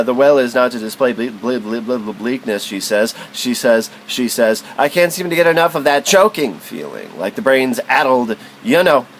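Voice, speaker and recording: A man giving a literary spoken-word recitation in a theatrical, halting, wordy way, stumbling and repeating himself. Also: mid-range voice, a bit bright, a little hiss or hum, poor audio.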